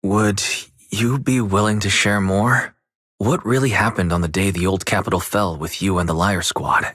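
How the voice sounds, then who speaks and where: A gentle, serious confidant in a video game. His voice is deep. He talks politely and with care. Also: fairly even intonation, moderate pace. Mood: gently probing.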